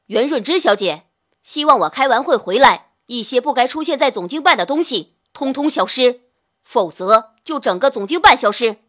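A woman voicing a demanding, angry young female company boss in an audiobook, with a sharp, commanding, scolding manner. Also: on the high side, lively intonation, normal speed. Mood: stern, angry. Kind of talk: dramatic audiobook character acting.

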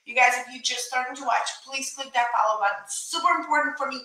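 A chatty woman in her thirties hosts a live shopping stream and talks eagerly to her viewers. Her voice is bright and a little high. It rings through an echoey small room, picked up from across the desk. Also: quick pace. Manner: chatty, excited, addresses viewers directly. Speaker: livestream shopping host. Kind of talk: casual live stream chat. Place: small home office at a desk. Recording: echoey room, mic far off.

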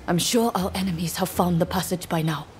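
A woman playing a businesslike young sword-fighting ally in a video game, brisk, composed and practical. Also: lowish voice, lightly acted. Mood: composed, urgent.

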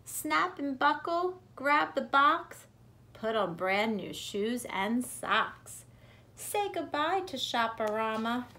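Bright, high-pitched woman reading to children. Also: slight background noise. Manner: expressive, rhythmic, deliberate delivery for young children. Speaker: preschool teacher reading aloud. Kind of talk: children's picture book read-aloud. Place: bedroom at home.

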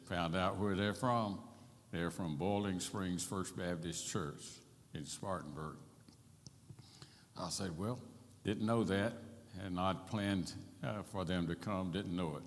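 An older man, in his seventies or beyond, preaching a folksy, plain-spoken sermon with personal stories. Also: deep voice. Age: 70s or older. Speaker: Baptist pastor. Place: large church sanctuary, at a pulpit. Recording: reverberant church hall.

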